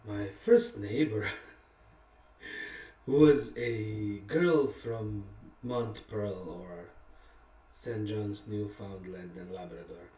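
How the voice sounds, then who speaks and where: A middle-aged man, a songwriter, casually telling the personal story behind one of his songs, reflective and candid, pausing often and laughing at himself. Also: lowish voice, dark tone. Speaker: songwriter and musician. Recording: roomy sound from a distant mic.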